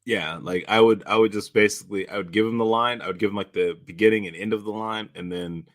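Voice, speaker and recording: Low-voiced man chatting casually. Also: steady tone, no room sound, clean studio sound. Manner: halting, rambling, repeats himself. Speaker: director and podcast host. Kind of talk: casual behind-the-scenes chat.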